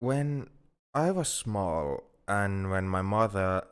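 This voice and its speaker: A man as a hesitant, smooth-voiced shy alien. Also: on the low side, expressive. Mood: wistful, sad. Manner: hesitant, halting, earnest. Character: shy, flustered, self-blaming young male alien confessing feelings. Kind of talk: ASMR roleplay audio drama.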